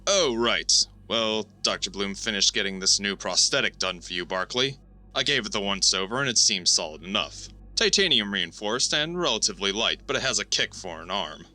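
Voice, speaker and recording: A man playing a gruff, blunt chief engineer and former boxer in an audio drama. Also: on the low side, lively intonation, moderate pace, sharp tone. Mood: matter-of-fact.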